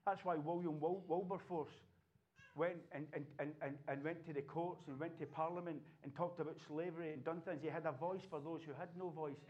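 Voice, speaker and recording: A fervent male pastor, his voice sitting high for a man and climbing into near-shouts as he exhorts the congregation. He preaches with passion and repetition in a church setting. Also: steady tone, fairly quick, dull audio. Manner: impassioned preaching, rising to shouts. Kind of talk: sermon.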